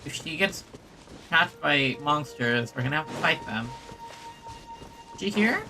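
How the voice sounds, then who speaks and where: A woman's voice: sits low, lively intonation, unhurried, low-quality recording. A woman streamer giving casual let's play commentary and short reactions while playing a game. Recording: game music behind the voice.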